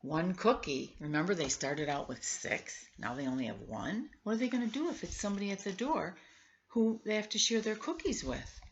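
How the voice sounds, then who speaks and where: A woman's voice: mid-range voice, lively intonation, moderate pace, muffled recording. A woman reading a storybook aloud to children, coaxing them with questions and exclaiming in conversational asides. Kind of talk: read-aloud storytelling with questions to young listeners.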